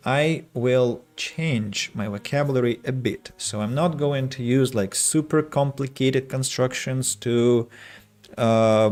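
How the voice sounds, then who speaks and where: A young adult man from Russia with a Russian accent, streaming a strategy game and chatting with viewers, choosing his words slowly and carefully with frequent restarts. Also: mid-range voice, a little mellow. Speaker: streamer and English teacher. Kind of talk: casual gameplay streaming chat.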